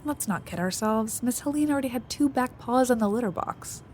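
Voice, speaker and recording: A woman's voice: on the high side, expressive, fairly quick, a little mellow, slightly rough. A woman playing a devoted, pleading female cat in an audio drama. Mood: reassuring, insistent.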